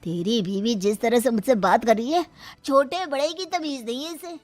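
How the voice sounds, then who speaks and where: A woman's voice: fairly high pitch, normal speed, natural voice. Stern uncle of a traditional household, played by a woman: bossy, scolding, storybook drama over music. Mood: angry, threatening. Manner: scolding, commanding. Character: stern, domineering patriarchal male in-law. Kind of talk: Hindi moral story character voice.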